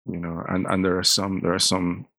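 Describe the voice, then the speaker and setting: A man's voice: low voice, completely flat delivery, moderate pace, creaky, pushed and bright, no echo. A middle-aged Scottish man, a pastor, talking earnestly in an interview and often repeating words as he starts a sentence. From Scotland. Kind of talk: conversational interview.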